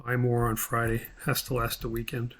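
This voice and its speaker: A man giving an unscripted step-by-step software tutorial, talking haltingly as he thinks aloud while he works. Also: mid-range voice, completely flat delivery.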